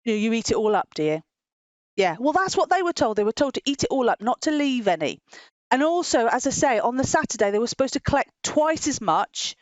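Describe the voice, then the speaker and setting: A woman's voice: fairly high pitch, sing-song, a little fast, no echo. A woman presenting a children's Bible story informally, explaining in simple phrases and often repeating herself. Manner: simple explanatory phrasing with frequent repetition and chuckles.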